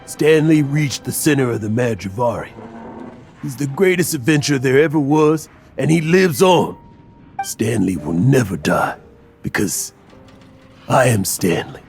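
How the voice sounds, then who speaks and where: A man's voice: mid-range voice, expressive, a little slow. A man playing a weary, bitter, guilt-ridden old adventurer in a dramatic video game, brooding and sighing. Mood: proud. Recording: music under the voice.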